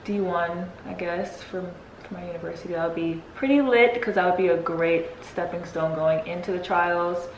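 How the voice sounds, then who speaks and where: A woman's voice: fairly low pitch, moderate pace, few pauses. A young woman, a guest athlete, chatting casually and giggling easily as she answers questions. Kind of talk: casual Q&A chat. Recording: echoey with faint noise.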